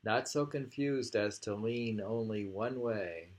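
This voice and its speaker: A man reading poems aloud and discussing them casually, in a deliberate way, repeating lines and musing on them. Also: on the low side. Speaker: poetry reader and commentator.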